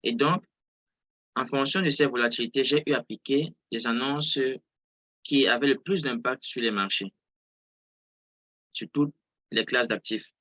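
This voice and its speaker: A man's voice: medium pitch, a little slow, pauses often, pushed and bright, dry recording. A man who is not a native French speaker, giving an informal live online lesson as a trading instructor, often repeating and rephrasing his words for emphasis. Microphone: close mic on an online video call.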